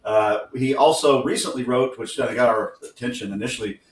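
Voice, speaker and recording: A man's voice: medium pitch, a little fast. A groggy man co-hosting a podcast, chatting casually and rambling with lots of fillers and self-corrections. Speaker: podcast co-host. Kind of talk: casual interview talk. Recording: roomy sound from a distant mic.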